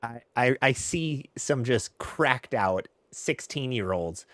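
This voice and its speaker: A young adult man hosting a casual live chat. He reads viewer questions aloud with quick asides and laughs often. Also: medium pitch, expressive, fairly bright tone, no room sound. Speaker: host.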